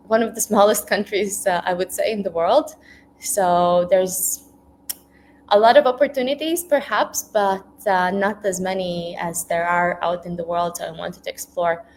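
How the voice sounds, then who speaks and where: A woman's voice: on the low side, darkish tone. A woman of about thirty with a Latvian accent, talking informally as a guest speaker in a reflective, somewhat hesitant way. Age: around 30. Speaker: guest speaker, nonprofit tech professional. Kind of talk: informal career talk. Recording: faint background noise.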